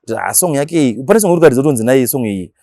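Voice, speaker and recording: Very fast-talking Zimbabwean man. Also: medium pitch, expressive, warm tone, no echo, clean studio sound. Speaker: radio DJ, interview guest. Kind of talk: casual interview talk.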